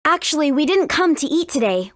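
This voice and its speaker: A woman voicing a brave, friendly teenage warrior princess in a video game, with an earnest, clearly spoken manner. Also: high-pitched, sing-song, fairly quick, no echo, studio quality. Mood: earnest, caring. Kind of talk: scripted video game character dialogue.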